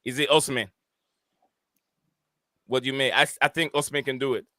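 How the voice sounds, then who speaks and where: A man's voice: mid-range voice, steady tone, brisk, sharp tone, dry recording, clean studio sound. A man hosting a casual football live stream, hesitant and full of fillers as he reads out viewer comments. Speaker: host of a football podcast stream.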